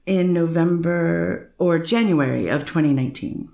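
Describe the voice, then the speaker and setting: A woman reading a gravelly, probing defense lawyer. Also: fairly low pitch, a little slow, darkish tone, roomy sound. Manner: probing questions with frequent "I guess" fillers. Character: persistent female defense lawyer. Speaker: defense attorney cross-examining. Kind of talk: amateur readers theater reading of a court transcript.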